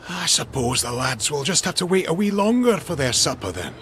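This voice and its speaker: An actor playing a friendly, sly, haggling army cook in a video game. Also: male voice, a little high, sing-song, brisk, fairly bright tone. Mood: helpful. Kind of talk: video game character acting. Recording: noisy vehicle ambience behind.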